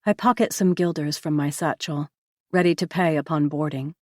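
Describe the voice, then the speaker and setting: A woman with a low voice reads a novel aloud in the first person, her delivery measured and even. The sound is close and dry, with no room around it. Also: no echo. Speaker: audiobook narrator.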